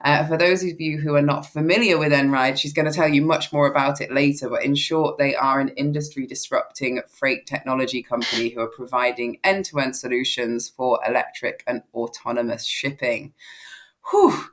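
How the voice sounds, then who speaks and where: A woman's voice: extremely low pitch, fairly even intonation, normal speed. A woman hosting an interview podcast, chatty and friendly, with some fillers. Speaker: podcast host.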